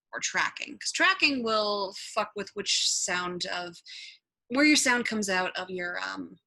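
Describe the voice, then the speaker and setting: A woman giving a casual, improvised software tutorial, thinking out loud and swearing offhandedly as she goes. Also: on the low side, vocal fry, low-quality recording. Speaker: tutorial presenter.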